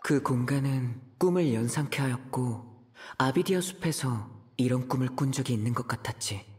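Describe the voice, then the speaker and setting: A man playing a serious, thoughtful young traveler, reasoning aloud in a measured way in a video game. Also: medium pitch, a little mellow, natural voice. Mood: reflective. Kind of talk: video game inner deduction monologue.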